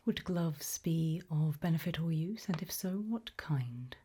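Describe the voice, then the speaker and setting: A woman whispering softly in an ASMR roleplay as a tailor, asking questions in a polite, measured, formal manner. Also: sits low, lively intonation. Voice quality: soft, breathy unvoiced whisper.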